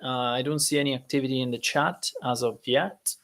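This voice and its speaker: A man's voice: mid-range voice, steady tone, a little fast, fairly bright tone. Chatty Italian man teaching live. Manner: chatty, hesitant, many fillers and restarts. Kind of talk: casual live-stream lesson.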